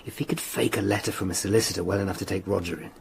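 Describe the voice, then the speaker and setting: An actor playing a bold Tudor lawyer turned sleuth in a radio drama, questioning people directly. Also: male voice, deep voice, steady tone, rapid-fire, creaky, slightly rough, exaggerated voice. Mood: inquisitive.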